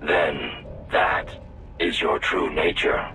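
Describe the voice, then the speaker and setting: An actor playing a coolly logical AI combat robot in a game cutscene, formal and analytical. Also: male voice, a bass voice, steady tone, takes it slowly, sharp tone, faint music behind, heavily acted.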